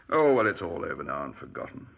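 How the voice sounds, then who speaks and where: An actor playing a genial, breezy middle-aged British retired major in a 1950s radio drama, clipped and dismissive. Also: male voice, low voice, vocal fry, husky, exaggerated voice. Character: genial, dapper retired army major, breezy small-town murder suspect. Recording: muffled 1950s radio recording.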